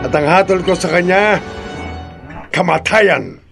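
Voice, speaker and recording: A man's voice: high voice, measured pace, creaky. An actor playing a cruel male cult leader in a radio drama, menacing and laughing with scorn. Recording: music under the voice.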